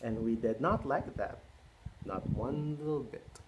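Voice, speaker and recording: A man in his twenties reading a storybook aloud to children, expressive, with chatty questions to his listeners. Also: medium pitch, measured pace, noisy background. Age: 20s. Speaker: children's read-aloud host and early childhood educator.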